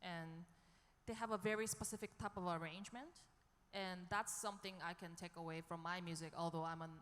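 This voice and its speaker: Smooth lowish-voiced woman in an echoey hall. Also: fairly even intonation, fairly quick, fairly bright tone. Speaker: experimental music artist on a panel. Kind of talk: casual unscripted panel talk. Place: hall at a music conference panel. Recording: echoey hall with long reverb.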